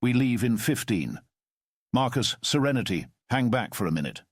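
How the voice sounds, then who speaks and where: A man voicing a calm, commanding middle-aged former spy who speaks in a measured, formal way. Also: medium pitch, no room sound, studio quality. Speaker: storyteller. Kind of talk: audio drama storytelling.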